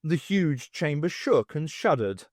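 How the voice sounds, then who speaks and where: Animated, higher-voiced man narrating a story. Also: unhurried, no room sound, clean studio sound. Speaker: audiobook narrator. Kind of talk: scripted dramatic story narration.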